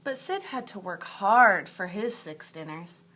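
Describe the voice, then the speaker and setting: Slow-paced woman teacher reading a storybook aloud. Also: mid-range voice, expressive, sharp tone, a little hiss or hum. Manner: deliberate, emphatic storytelling with asides to young pupils. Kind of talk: expressive picture-book read-aloud for second graders.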